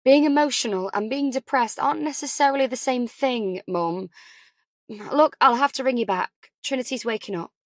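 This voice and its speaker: A woman's voice: fairly high pitch, dry recording, pitched above the performer's own voice, natural voice. Woman acting anxious, overwhelmed young mother. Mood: defensive. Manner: emotional, hurried, spilling worries. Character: anxious, tearful young first-time mother, overwhelmed and defensive. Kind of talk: audiobook character dialogue.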